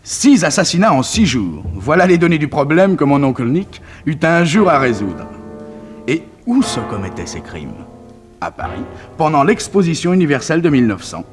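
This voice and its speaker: An actor narrating a 1960s French radio detective serial as the hero's nephew, in a dramatic, suspenseful manner. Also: male voice, fairly high pitch, sing-song, normal speed, soft background music. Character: storytelling nephew narrating his detective uncle's exploits.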